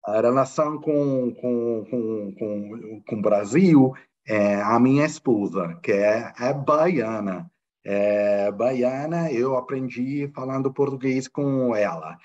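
A man's voice: mid-range voice, slow pace, darkish tone, muffled recording. A middle-aged man with an Italian accent, whose first language is Italian, answering interview questions in Portuguese with many fillers and repeated words. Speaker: guest, football club finance director.

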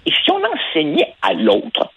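A man's voice: high voice, wildly swooping pitch, unhurried, vocal fry, breathy edge, no echo, muffled recording. A man whose first language is Spanish, speaking Quebec French as a passionate radio commentator who repeats words while building his points. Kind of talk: opinion talk on radio.

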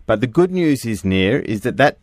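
A man's voice: medium pitch, big pitch swings, moderate pace, darkish tone, no room sound, clean studio sound. A middle-aged man hosting a radio show, chatty, explaining things patiently with plenty of fillers. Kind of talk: casual radio chat.

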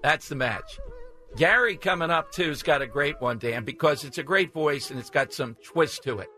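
Bright-voiced Philly talk radio host. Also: male voice, fairly high pitch, moderate pace. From South Philadelphia. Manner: joking, teasing callers. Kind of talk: casual talk radio banter.

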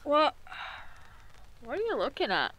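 An actress playing an irreverent, skeptical and wary young woman adventurer in an audio drama, talking in a casual, sardonic, expressive way. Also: sits high, unhurried, slight background noise, exaggerated voice, poor audio. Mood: urgent.

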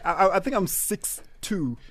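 A man's voice: high-pitched, very animated, moderate pace, crowd noise. A male comedian with a Zimbabwean accent, chatting and joking as a radio guest. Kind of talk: casual joking radio chat.

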